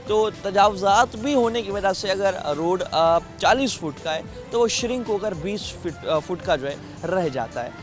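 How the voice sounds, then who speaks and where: A male news anchor with a somewhat high voice. He reports in an earnest, drawn-out way and stops often on hesitant fillers. Clear music plays behind him. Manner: earnest, drawn-out delivery with many filler pauses. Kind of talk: formal news reporting and studio interview.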